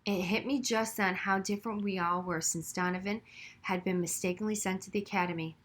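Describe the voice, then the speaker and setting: A woman reading a children's novel aloud as narrator, with expressive storytelling delivery. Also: mid-range voice, brisk. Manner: narrates expressively, acting out dialogue. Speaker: audiobook reader.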